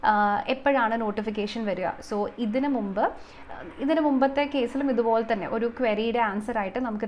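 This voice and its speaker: A woman's voice: fairly high pitch, a little hiss or hum. A woman giving an explanatory exam coaching lesson, mixing in many English terms and numbers. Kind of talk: explanatory video lesson, semi-formal.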